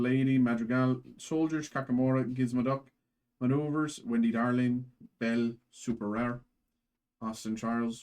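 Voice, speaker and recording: A man's voice: medium pitch, darkish tone, poor audio. A man hosting a live card break in a put-on Irish accent, rattling off card names from each pack.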